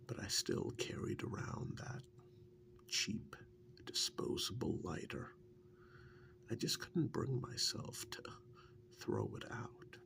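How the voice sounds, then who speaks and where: A man playing an easygoing, wryly fond long-term partner in an ASMR role-play, speaking softly and breathily in a halting, musing way. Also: medium pitch, very animated, exaggerated voice. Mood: musing, reminiscing. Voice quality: soft, breathy, gravelly, half-whispered. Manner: halting, unhurried, musing with many pauses.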